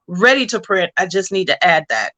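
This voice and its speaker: A woman's voice: medium pitch, lively intonation, moderate pace, a bit bright, highs cut off. A woman speaking casually as an interview guest, explaining plans for a story as a comic book writer.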